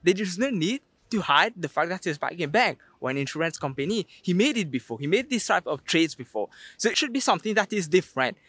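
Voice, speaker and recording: A man's voice: sits high, very animated, fast talker, hardly any pauses, fairly bright tone, no echo. A young man presenting an investing explainer to camera, speaking emphatically. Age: young adult. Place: outdoors on a sandy beach.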